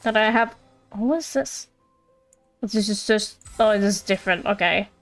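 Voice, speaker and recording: A woman's voice: medium pitch, moderate pace, soft background music. A woman chatting casually on a game livestream, rambling and thinking aloud in a playful, giggly, self-deprecating way.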